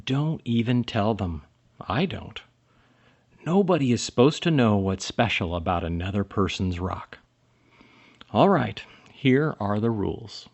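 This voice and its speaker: Male storybook reader, very animated intonation, expressive emphasis for young listeners, lo-fi muffled sound. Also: on the low side. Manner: dramatic pauses and emphasis for children. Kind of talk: expressive children's picture-book read-aloud.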